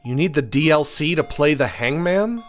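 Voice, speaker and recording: A man's voice: fairly high pitch, lively intonation, normal speed, faint music behind. A man giving casual live commentary on a video game and reading its text aloud, playfully whiny when let down.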